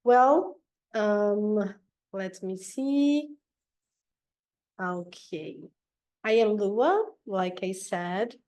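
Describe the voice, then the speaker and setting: A woman from Brazil with a Brazilian Portuguese accent, a native Portuguese speaker giving an online talk in a hesitant, slightly nervous way with many pauses and ums. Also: medium pitch, expressive, a little mellow. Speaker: guest speaker. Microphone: video call.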